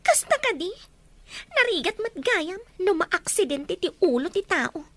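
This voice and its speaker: An actress playing a scheming, sharp-tongued woman in radio-style family melodrama. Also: extremely high pitch, very animated, unhurried, no echo, exaggerated voice. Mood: probing.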